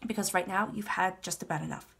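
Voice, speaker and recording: A woman's voice: fairly low pitch, fast talker, creaky, a bit bright, slightly rough. A woman reading tarot for her channel, congested with a cold, talking in a soothing, reassuring way and often repeating herself. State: stuffed up from a cold. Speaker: tarot reader. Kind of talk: tarot reading monologue to camera.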